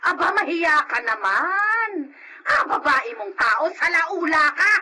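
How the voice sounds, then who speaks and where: A woman's voice: very high-pitched, sing-song, takes it slowly, bright, forceful tone, slight background noise, full character voice. A woman playing a harsh, self-righteous old grandmother in a radio drama, nagging and berating. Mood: contemptuous.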